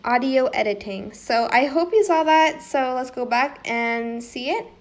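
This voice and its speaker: A woman's voice: sits high, measured pace. A woman presenting a casual how-to tutorial in an informal, slightly hesitant way, as if thinking aloud.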